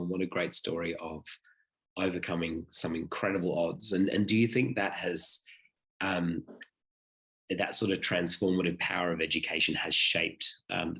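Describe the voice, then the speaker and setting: A man's voice: low-pitched, creaky, pushed and bright, poor audio. A man born in the UK hosting an interview, asking questions and encouraging the guest. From United Kingdom. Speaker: podcast host.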